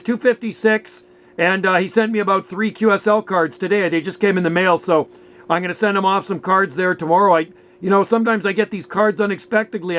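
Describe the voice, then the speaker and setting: A man's voice: high-pitched, fairly bright tone. A man chatting casually and easily as a radio operator making a contact, sometimes repeating himself. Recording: faint background noise and hum.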